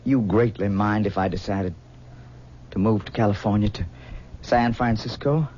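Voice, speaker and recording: A man plays a young son in an old radio play. He talks earnestly, often pleading and halting. The recording is muffled, with a faint hiss. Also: fairly low pitch, a little mellow, natural voice. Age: young adult. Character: anxious young man torn by his mother. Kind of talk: 1970s radio drama acting. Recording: dull 1970s radio broadcast.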